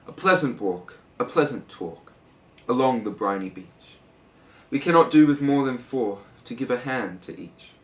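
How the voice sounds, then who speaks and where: Man playing storybook walrus, pompous, grand, smooth-talking, falsely sympathetic, slightly off-mic. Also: medium pitch, animated, moderate pace, echoey room, distant mic, natural voice. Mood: coaxing. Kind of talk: storybook poem recital.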